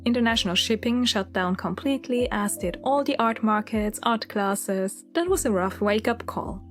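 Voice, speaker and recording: A woman's voice: a little high, lively intonation, normal speed, faint music behind. A woman in her thirties with a Swiss German accent, narrating her story in a candid, chatty, reflective voice-over. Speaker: illustrator and channel host.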